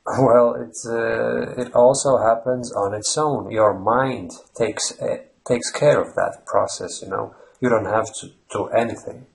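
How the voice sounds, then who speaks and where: A man's voice: medium pitch, steady tone, measured pace, vocal fry, hardly any pauses. A man from Latvia with a Latvian accent, hosting a language-learning channel and explaining at length in a rambling way with fillers and restarts. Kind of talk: unscripted explanatory monologue. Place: Ireland.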